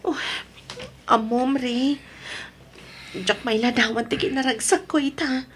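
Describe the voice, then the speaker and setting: A woman's voice: high-pitched, fairly even intonation, unhurried, slight background noise. A woman with an Ilocano accent acting a stubborn, pleading married woman in love with another man in a radio drama. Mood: lovestruck, earnest.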